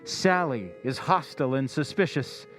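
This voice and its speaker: A man's voice: mid-range voice, lively intonation, normal speed, close to an everyday voice. An actor playing a sinister, all-knowing male narrator in a 1940s-style radio drama, speaking insinuatingly and straight to the listener. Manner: insinuating, addresses listener directly. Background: music.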